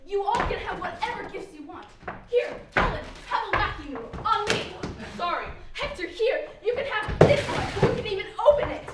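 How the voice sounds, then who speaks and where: A woman's voice: very high voice, very animated, normal speed. A young woman playing a pompous, gleefully greedy birthday child in a stage comedy, with theatrical, declamatory delivery. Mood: smug. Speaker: amateur stage actor. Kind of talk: mock-Greek-tragedy stage comedy. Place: indoor stage, recorded from afar. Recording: echoey hall, distant mic, noisy.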